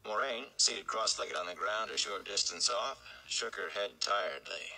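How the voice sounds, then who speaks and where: Young male narrator, deep and creaky, dramatic fantasy read-aloud. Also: normal speed, pushed and bright, low-quality recording. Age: young adult. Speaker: audiobook-style reader for a fan read-along. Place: small indoor room.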